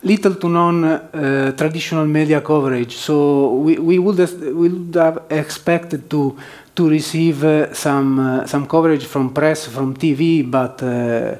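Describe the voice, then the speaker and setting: A man's voice: fairly high pitch, fairly even intonation, a little slow, hardly any pauses, a little mellow. A man presenting at a developer conference as one of its organizers, hesitating often with fillers and restarts. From southern Switzerland. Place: conference hall.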